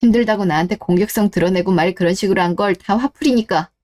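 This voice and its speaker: A woman's voice: medium pitch, quick pace, a little mellow, natural voice. A woman playing a betrayed, cool-headed wife who questions and reproaches in radio-drama dialogue. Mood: stern, unforgiving. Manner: cold, interrogating, reproachful.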